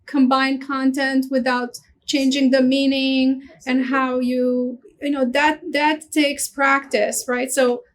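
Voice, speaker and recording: A woman's voice: high voice, flat delivery, slow pace, few pauses. A woman from Romania, a Romanian native speaker, talking in a webinar discussion over a video call, often repeating words as she searches for phrasing. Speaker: guest speaker, international office director.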